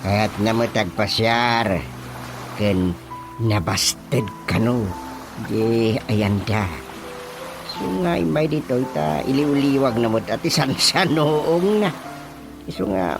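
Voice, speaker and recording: An Ilocano village chairman in a radio comedy drama. His mid-range voice swings widely as he talks like everyone's uncle, with music clearly underneath. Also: medium pitch, animated, slow. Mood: explaining. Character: animated male village chairman, an uncle figure.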